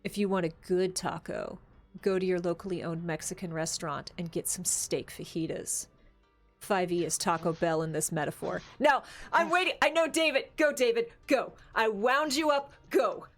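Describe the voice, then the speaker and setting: A woman's voice: on the low side, moderate pace, soft background music. A woman hosting a casual discussion show, talking conversationally with lots of "like" asides. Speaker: show host. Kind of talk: casual panel discussion of tabletop gaming.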